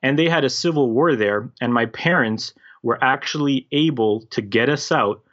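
A man in his thirties from the former Yugoslavia, raised in Canada, talking as a podcast guest in a friendly, relaxed way. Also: mid-range voice, moderate pace. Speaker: podcast guest, real estate investor. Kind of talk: casual interview. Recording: dull lo-fi call audio.

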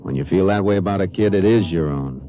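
A man playing a calm, plainspoken Texas Ranger investigator in a 1950s radio drama, steady and understated. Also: sits low, fast, natural voice. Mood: calm, serious. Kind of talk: 1950s radio drama acting with first-person narration. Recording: muffled, noisy vintage radio broadcast.

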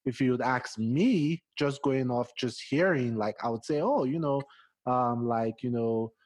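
A man from Nigeria with a Nigerian accent softened toward American, interviewing a guest in a rambling, casual way. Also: mid-range voice, a little slow, dry recording. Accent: Nigerian English, partly Americanized. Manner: chatty, rambling, many fillers.

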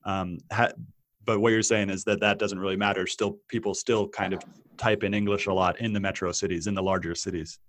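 Man, interview host, inquisitive, thinks aloud, low-pitched voice. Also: little pitch movement, fairly quick, fairly bright tone. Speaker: podcast host. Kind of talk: interview conversation.

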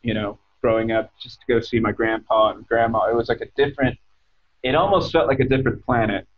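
A man's voice: mid-range voice, steady tone, fairly quick, narrow, tinny audio. An American man in his thirties telling his story casually as an interview guest, hesitant and often correcting himself. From United States. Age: 30s.

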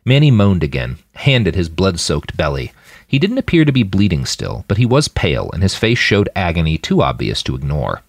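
Low-voiced, highly animated male storyteller. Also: moderate pace, no room sound. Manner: dramatic, expressive storytelling. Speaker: fiction podcast narrator and author. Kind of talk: scripted audiobook narration.

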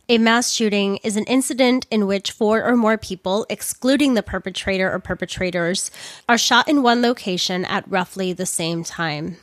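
A woman, somewhat hoarse from losing her voice, narrating a crime story with earnest personal commentary. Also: mid-range voice, dry recording, clean studio sound.

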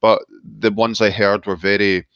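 A middle-aged Scottish man from Glasgow speaks as a guest with a measured, reflective manner. His voice sits a little low and the remote line sounds dull and dry, with no room around it. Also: level tone, a bit bright, smooth, no echo. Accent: Glaswegian Scottish. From Scotland. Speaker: seminary professor and guest. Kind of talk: reflective interview talk. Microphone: remote call line.

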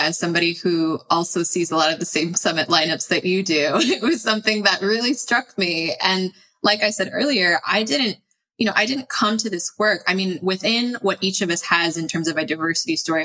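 Earnest bright-voiced woman guest. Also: fairly low pitch, low-quality recording. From Los Angeles, United States. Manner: earnest and reflective, with light laughs. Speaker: podcast guest. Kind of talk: casual interview conversation.

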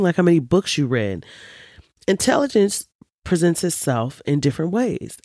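A woman in her forties with a deep voice, hosting a podcast in an emphatic, animated, opinionated monologue full of casual swearing. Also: dry recording, clean studio sound. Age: 40s. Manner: emphatic and animated, swears freely, preachy asides. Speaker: podcast host.

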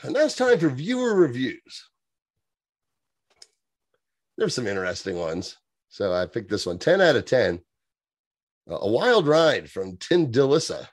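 A man's voice: mid-range voice, huge pitch swings, a little slow, long pauses, dry recording, studio quality. A man co-hosting a podcast, chatting casually and laughing often as he reads aloud. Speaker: podcast co-host. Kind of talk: casual chat.

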